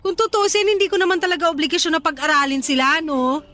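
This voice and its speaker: A woman's voice: very high voice, quick pace, bright, forceful tone, dry recording, faint music behind. A woman playing a brash, money-minded young resort worker in a Tagalog radio drama, sassy and dropping in English phrases. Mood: resentful.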